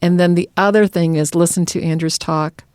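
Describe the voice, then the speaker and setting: A woman's voice: fairly low pitch, steady tone, normal speed, a little mellow, clean tone, no echo, clean studio sound. An American woman hosting a podcast in a conversational, organized and practical way, addressing listeners directly. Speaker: podcast host.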